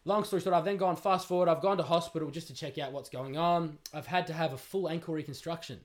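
A man's voice: on the high side, fast talker. A young Australian man on a podcast, telling a jokey story in casual chat into a studio mic. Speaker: podcast guest, footballer.

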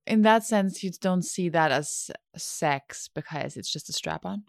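Low voiced woman asking questions, slow and easygoing, amused. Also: creaky, no echo, studio quality. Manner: curious, reactive, amused. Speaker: interviewer. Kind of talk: interview questions.

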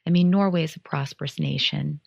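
A woman from the United States, giving a calm, earnest and encouraging reflective monologue as a podcast host. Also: low-pitched, brisk, creaky, slightly rough, dry recording, lo-fi sound.

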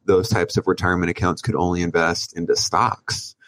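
A man from Southern California, a guest on a podcast, telling his story in a relaxed way with small restarts. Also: low-pitched, a little fast, no room sound. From Oceanside, California, USA. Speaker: guest, business owner. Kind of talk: casual interview.

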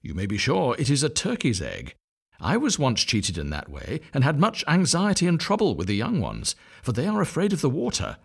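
A man voicing an old visiting duck who gives advice slowly and knowingly. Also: mid-range voice, wildly swooping pitch, creaky, slightly rough, pitched above the performer's own voice, heavily acted. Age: older. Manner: slow, knowing, drawn-out.

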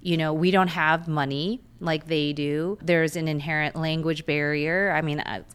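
A woman's voice: sits low, fairly even intonation, moderate pace, a bit bright, clean tone. A woman in her thirties whose first language is Korean, giving candid, chatty interview answers with frequent fillers. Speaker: guest, lawyer and runner.

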